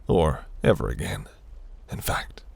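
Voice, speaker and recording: A man playing a seductive, imperious demon prince in a fantasy audio roleplay, speaking in a silky, commanding, breathy murmur. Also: big pitch swings, a little slow, no room sound, full character voice. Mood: flattering, smug. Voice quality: low, gravelly, breathy.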